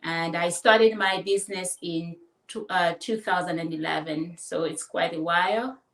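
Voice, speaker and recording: A woman from the Democratic Republic of Congo, answering interview questions casually and haltingly, with many fillers. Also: lowish voice, fairly even intonation. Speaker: guest, fashion business owner and designer. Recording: roomy echo, distant mic.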